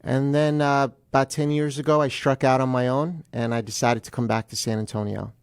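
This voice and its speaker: An American man from New Jersey giving a casual interview as a guest, pausing often with ums and uhs. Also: mid-range voice, steady tone, clear, resonant tone, no room sound, clean studio sound. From New Jersey, United States. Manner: hesitant, frequent ums and uhs. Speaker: guest attorney.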